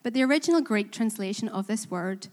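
A woman's voice: medium pitch, lively intonation, fast, a little mellow. A woman with a Korean accent preaching a sermon in church, earnest and self-deprecating, asking the congregation questions. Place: church in Belfast. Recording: reverberant hall.

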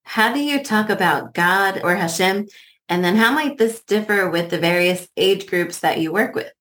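A woman with a medium-pitched voice hosts a conversational interview. She comes across as agreeable, affirming what she hears and putting questions in an informal way. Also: expressive, moderate pace, a bit bright.

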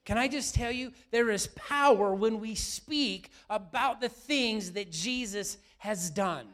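A middle-aged male pastor preaching a sermon, his voice a little raspy from yelling, often asking the room questions. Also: high voice, slow pace.

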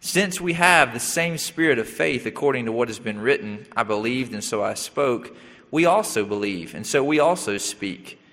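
Young pastor, man in his thirties, halting sermon delivery, bright tone, long hall reverb. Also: medium pitch. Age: 30s. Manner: halting, with many fillers and restarts.

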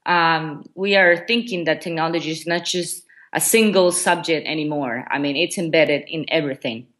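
A woman's voice: deep voice, moderate pace, fairly bright tone. A woman from Ecuador whose first language is Spanish, answering interview questions in English with frequent ums and restarts. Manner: hesitant, many ums and restarts. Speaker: guest, education coordinator.

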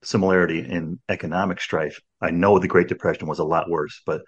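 Low husky older man, rambling podcast host. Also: fairly even intonation, a little fast, muffled recording. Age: 60s. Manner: rambling, many restarts and "you know"s. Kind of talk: casual podcast conversation.

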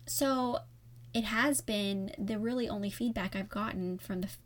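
A woman's voice: medium pitch, moderate pace. A woman hosts her own podcast alone at the mic, with a soothing voice that listeners often praise. She talks in a candid, reflective, conversational way, as if chatting with a friend. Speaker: podcast host. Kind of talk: solo podcast talk.

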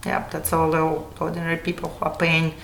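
A woman from Russia speaks earnestly as an interview guest, stopping and restarting her thoughts. Her voice sits low. The room is echoey with a faint hiss behind her. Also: monotone, brisk, creaky, fairly bright tone. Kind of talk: casual conversation.

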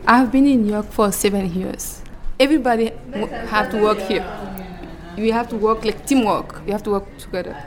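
A woman's voice: medium pitch, fairly even intonation, moderate pace, crowd noise. A woman in her twenties from Burkina Faso, friendly and laughing, sometimes searching for English words. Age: 20s. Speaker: culinary student and interpreter.

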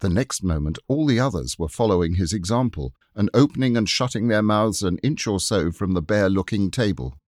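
A man narrating a classic children's book, expressive and wryly amused, read into a close studio mic. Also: fairly low pitch, a little fast, few pauses, no echo. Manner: expressive, wry. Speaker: audiobook narrator. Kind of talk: storybook narration.

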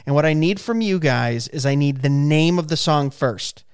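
A man in his late thirties hosting a casual podcast chat and running a music quiz for his guests, animated and quick to laugh when someone scores. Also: on the high side, clean tone. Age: 30s. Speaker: podcast host.

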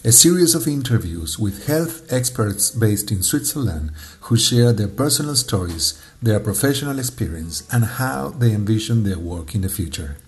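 A man hosting an interview, speaking in a measured, polite way. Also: fairly low pitch, expressive. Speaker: interviewer, host. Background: faint music.

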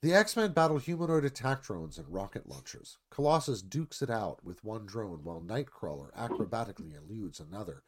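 A man's voice: fairly low pitch, very animated, a little fast, hardly any pauses, slightly rough. A man narrating a screenplay's stage directions in a live radio-theatre reading.

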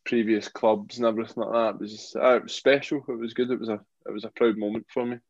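A man's voice: lowish voice, level tone, fast, no room sound, muffled recording. A young Glaswegian man, a rugby player turned boxer, answering interview questions in a rambling way, full of fillers and repeated phrases. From Scotland.

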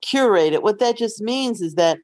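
Female podcast co-host, middle-aged, mid pitch, casual chat, wry, matter-of-fact, dry close sound. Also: animated, moderate pace, darkish tone, no room sound.

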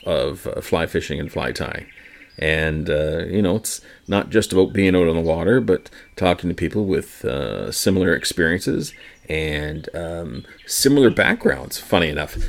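A middle-aged Canadian man hosting a casual solo podcast, rambling and halting with many ums and uhs. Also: low-pitched. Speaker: podcast host.

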